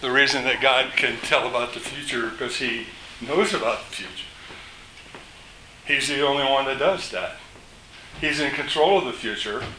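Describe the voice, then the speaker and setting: Older male preacher, loud and bright-toned, slow measured sermon delivery, faint noise behind. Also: medium pitch, rough recording. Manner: slow, emphatic delivery, rises when quoting scripture. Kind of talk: sermon with scripture reading.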